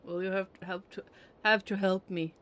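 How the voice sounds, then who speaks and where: A man's voice: high voice, level tone, normal speed, fairly bright tone, clean tone. A man streaming a game with casual, teasing, self-deprecating commentary and some swearing. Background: game music.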